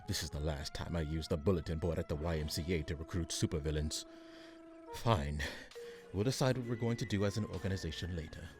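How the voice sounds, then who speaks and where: A man's voice: low-pitched, a little fast. A man playing an exasperated, condescending supervillain mastermind in a comic radio play, pompous in his delivery.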